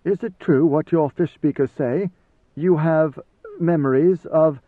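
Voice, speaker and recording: A man's voice: on the high side, unhurried, pitched above the performer's own voice. A man voicing a proud male warrior who is blunt, wary and full of challenging questions, in an audiobook reading. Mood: earnest, questioning. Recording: slightly roomy, phone-like narrow sound.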